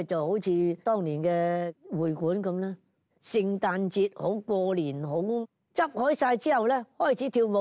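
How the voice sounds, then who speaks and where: A woman's voice: mid-range voice, a little mellow, no room sound, clean studio sound. An older woman with a Hong Kong Cantonese accent, reminiscing conversationally as an interviewee.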